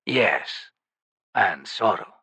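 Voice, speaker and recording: A man's voice: sits low, sing-song, pushed and bright, breathy edge, dry recording, heavily acted. Branko, a man voicing a solemn undead shaman. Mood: solemn sorrowful. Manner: slow, solemn, measured. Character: ancient undead male shaman, grave and sorrowful.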